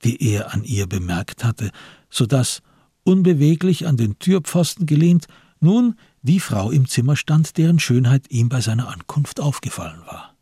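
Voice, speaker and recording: A man's voice: medium pitch, big pitch swings, moderate pace, warm tone, husky, dry recording, clean studio sound. A man narrating a radio crime drama in scripted, literary prose.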